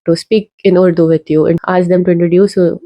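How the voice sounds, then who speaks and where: A young woman with an Indian English accent makes an earnest, casual video essay to camera, with fillers and pauses as she urges viewers. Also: on the low side, little pitch movement, lo-fi sound. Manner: earnest, persuasive, many fillers. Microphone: talking to camera.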